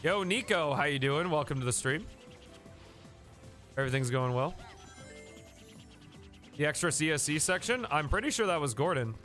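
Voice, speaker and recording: A man's voice: on the high side, animated, moderate pace, pauses often, a bit bright, soft background music. A man live-streaming a game, chatting casually and thanking viewers by name, a bit sniffly from a coming cold.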